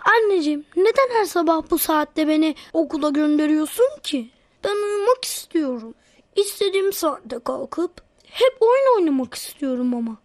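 A woman's voice: high-pitched, animated, moderate pace, no room sound. A woman voicing an eager, wheedling little boy in a children's cartoon, sweet to his elders. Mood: whiny, fed up.

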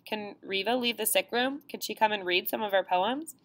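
An anxious woman camp elder speaks urgently and earnestly in an audiobook. A woman reads her lines. The voice stays near the reader's own, mid-pitched and plain. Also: moderate pace, a bit bright, natural voice.

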